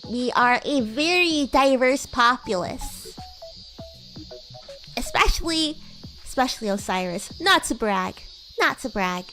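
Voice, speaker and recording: A woman's voice: high-pitched, lively intonation, slow, pauses often, bright, forceful tone, faint music behind, full character voice. A woman voicing a bratty, teasing young demon classmate in an improvised livestream roleplay, rambling playfully. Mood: chatty explaining. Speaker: VTuber streamer.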